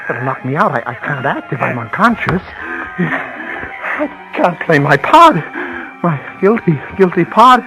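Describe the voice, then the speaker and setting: An actor playing a scheming prisoner who feigns guilt-crazed madness, in melodramatic vintage radio drama style, swinging between muttered asides and rants. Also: male voice, high voice, very animated, moderate pace, music in the background, low-quality recording. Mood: pained, calculating.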